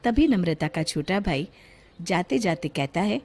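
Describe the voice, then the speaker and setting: A woman's voice: fairly low pitch, expressive, a little slow, darkish tone. A woman reading scripted narration for a cartoon story, acting as the storyteller.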